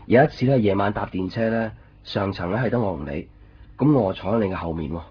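A man plays a curious young boyfriend with a Hong Kong Cantonese accent in a radio drama. He is soft-spoken and unsure, yet talks quickly in a low voice. The sound is dull, with some music behind. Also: slight background noise, close to an everyday voice. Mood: tentative, curious. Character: earnest, curious young boyfriend, unsure about his secretive girlfriend. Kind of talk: Cantonese radio drama acting with reflective narration. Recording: muffled 1990s radio drama, background music.

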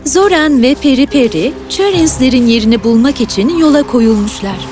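High-pitched woman narrating a fairy tale. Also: animated, normal speed, a little mellow, soft background music.

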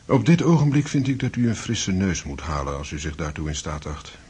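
Radio drama doctor: low voice, calm, paternal, soothing, a touch condescending. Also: male voice, a little hiss or hum. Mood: soothing, patronising. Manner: measured, soothing, patronising. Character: calm, paternal male doctor, smoothly persuasive. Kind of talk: Dutch radio drama acting.

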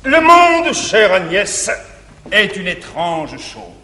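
Jealous middle-aged guardian, pompous, old roomy live recording with faint hiss. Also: male voice, sits high, very animated, pushed and bright, full character voice. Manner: pompous, measured verse with sudden swells. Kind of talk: 1950s stage verse comedy.

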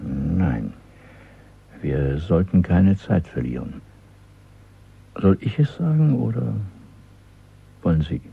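An actor playing a calm, commanding, inscrutable party man in a radio drama, gravelly and terse, with a measured, probing delivery. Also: low voice, full character voice. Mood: controlled, probing. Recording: narrow, muffled old radio drama recording.

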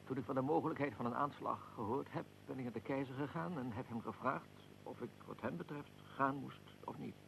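An actor playing a proud, aggrieved middle-aged archduke in a radio drama, speaking formally and deliberately with a gravelly voice. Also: male voice, mid-range voice, fairly bright tone, a little hiss or hum, rough recording. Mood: dignified, explanatory. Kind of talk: radio drama, historical figure being interviewed.

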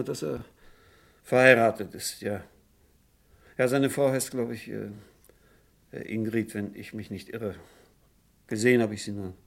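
An actor playing a nervous, evasive insurance agent in a radio crime drama, speaking hesitantly and defensively. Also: male voice, lowish voice. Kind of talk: vintage German radio crime drama acting.

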